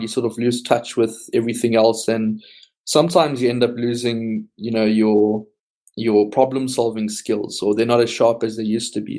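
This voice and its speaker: A man, a software team lead and guest on a casual podcast, explaining at length with frequent ums. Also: mid-range voice.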